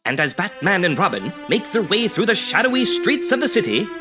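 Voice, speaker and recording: An actor playing a dramatic announcer narrating an old-time radio superhero serial in a theatrical, suspenseful manner. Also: male voice, sits high, very animated, brisk. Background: loud music.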